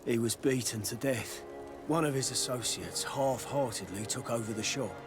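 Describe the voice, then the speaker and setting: A man's voice: mid-range voice, normal speed, lightly acted. A man playing a wary, blunt armorer in a video game, a guarded salesman. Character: wary, blunt male armorer and weapons merchant. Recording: music behind the voice.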